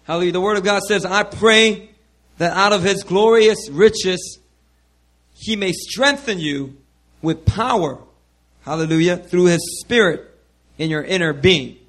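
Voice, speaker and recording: Hoarse male pastor, sermon, handheld mic, fairly high voice, keeps saying hallelujah. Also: unhurried, a bit bright. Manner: repeats hallelujah, calls out to the congregation. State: hoarse, worn voice.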